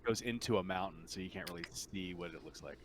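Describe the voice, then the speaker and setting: A man running a tabletop game as game master, narrating casually in halting, hesitant phrases over online play. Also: deep voice.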